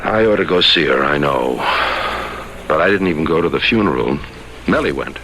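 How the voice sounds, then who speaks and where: Deep-voiced, defensive radio drama husband. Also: male voice, animated, normal speed, fairly bright tone. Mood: subdued. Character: weary, defensive husband who left his polished wife. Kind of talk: 1970s radio drama acting. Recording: noisy old radio broadcast recording.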